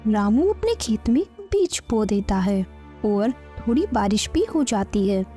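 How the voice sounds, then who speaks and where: A woman's voice: mid-range voice, big pitch swings, a little slow, mellow, faint music behind. A woman narrating a scripted bedtime story.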